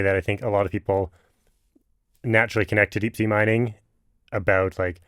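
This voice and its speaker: A man hosting a podcast interview, talking casually and haltingly, with lots of restarts. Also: lowish voice, smooth, no echo, clean studio sound. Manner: halting, many fillers and restarts. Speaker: podcast host.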